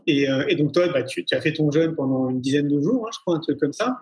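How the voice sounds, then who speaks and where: A Parisian man hosts a relaxed interview and rambles with fillers. His voice is husky and a little raised in pitch. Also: fast. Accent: Parisian French. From Paris, France. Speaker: host. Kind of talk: casual interview.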